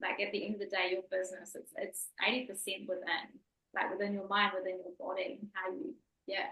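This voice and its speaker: A woman's voice: medium pitch, flat delivery, fairly quick, pushed and bright. A woman podcast host and coach, talking earnestly and emphatically about spiritual growth. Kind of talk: casual interview chat. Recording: echoey room.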